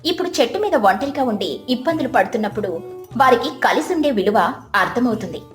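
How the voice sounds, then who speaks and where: A woman narrates a scripted moral tale. Her voice is high. The sound is echoey, with music playing underneath. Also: animated, brisk.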